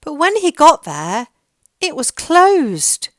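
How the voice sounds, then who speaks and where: A woman reading a children's picture book aloud in a gentle, expressive storytelling manner. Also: high voice, slow, no echo, clean studio sound.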